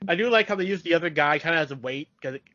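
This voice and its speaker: A man co-hosting a podcast, recapping an episode casually in a hesitant, halting way. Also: a little high, animated, bright, forceful tone, no echo. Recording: dry, dull lo-fi audio.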